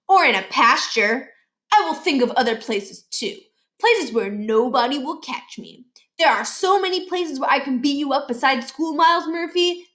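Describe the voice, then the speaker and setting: A woman's voice: a little high, a bit bright. A woman voicing a pompous, threatening schoolboy bully in a children's book read-aloud. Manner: menacing, self-important, indignant when accused.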